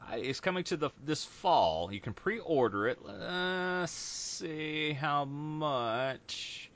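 A man's voice: on the high side, slow pace, muffled recording. A man hosting a podcast in casual chat, rambling with lots of fillers. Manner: rambling, relaxed, many fillers. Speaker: podcast host.

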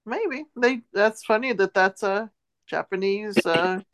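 A woman's voice: medium pitch, unhurried. A woman co-hosting a casual, conversational talk show. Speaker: podcast co-host. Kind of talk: casual chat.

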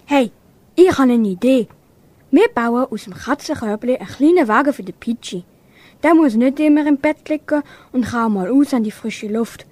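A brave, helpful dog acted by a young girl in a school radio play. The voice is high and eager, recorded dry. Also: expressive, moderate pace, darkish tone, no echo. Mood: eager, planning. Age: young teenager. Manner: eager, barks out woofs. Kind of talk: amateur radio play acting.

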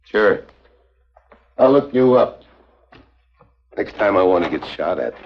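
Low, deadpan American tough guy. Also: takes it slowly, slight background noise. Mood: wry. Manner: clipped, deadpan, sardonic. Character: tough, wry American secret agent, cool and sardonic. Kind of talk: 1950s hard-boiled spy drama acting and narration. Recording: dull 1950s TV film soundtrack.

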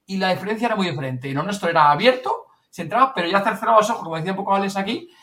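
A man with a Castilian Spanish accent rattles through a casual story in a bright, slightly high voice. He talks very quickly and colloquially, with the animated manner of a podcast host swapping anecdotes with colleagues. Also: recorded from a distance. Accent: Spanish (Spain).

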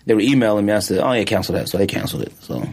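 A man co-hosting a podcast in casual sneaker chat, animated and slangy, laughing often. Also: fairly low pitch, rapid-fire, breathy edge. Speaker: podcast co-host.

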